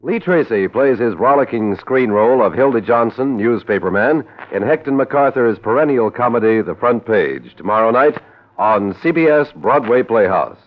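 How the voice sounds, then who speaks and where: American old-time radio announcer, muffled sound. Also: male voice, medium pitch, expressive, moderate pace. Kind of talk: 1940s-50s scripted radio announcing.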